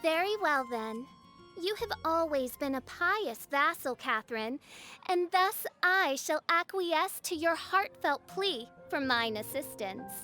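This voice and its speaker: A woman's voice: high voice, expressive, slow, bright, forceful tone, faint music behind, heavily acted. A woman voicing a grandiose teenage self-styled princess of darkness in a video game, pompous and theatrical, with archaic speech. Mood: haughtily magnanimous. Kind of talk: video game cutscene acting.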